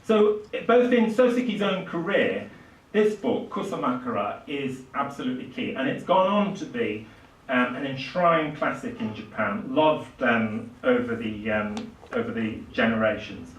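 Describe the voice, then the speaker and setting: An older man giving a public literature lecture, speaking haltingly with emphatic swells. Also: mid-range voice, lively intonation. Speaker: lecturer and author introducing a book. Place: small indoor lecture room at a podium. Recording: distant, echoey small lecture room.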